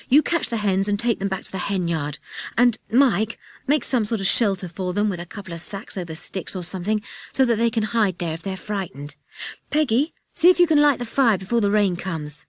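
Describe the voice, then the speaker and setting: A bold, bossy boy leader from a children's adventure book, acted by a woman narrator. He gives brisk or hushed orders with a lively rise and fall, recorded close and dry. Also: lowish voice, dry recording. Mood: brisk, cheerful.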